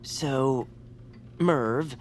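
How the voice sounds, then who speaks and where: An actress voicing a patient, practical bird friend who owns the house, speaking in a coaxing, level-headed way. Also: female voice, rumbling low, extremely slow, a little hiss or hum, full character voice. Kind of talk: audio drama acting.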